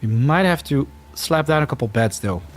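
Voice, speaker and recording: A man's voice: medium pitch, lively intonation, moderate pace, faint music behind. A man from the Netherlands with a Dutch-Flemish accent, chatting casually as he streams a game live, reacting to the action and reading viewer comments aloud.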